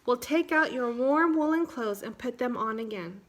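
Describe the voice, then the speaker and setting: A woman reading the part of a calm, reassuring mother in a children's storybook, patient and plain-spoken. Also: a little high, normal speed, natural voice. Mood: practical.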